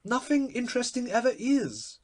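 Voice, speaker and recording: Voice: medium pitch, sing-song, normal speed, voice pushed up. A performer voicing a gushing, witty, imperious society hostess in a theatrical, emphatic manner for a storybook reading. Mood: imperious, playful.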